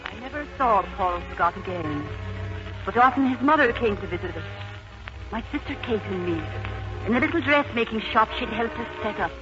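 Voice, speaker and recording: An actress in a 1940s radio drama playing a young Irish former housemaid, gentle and sorrowful, with a tender, wistful delivery. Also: female voice, fairly high pitch, expressive, moderate pace, lightly acted. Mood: wistful narrating. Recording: muffled 1940s radio recording with music.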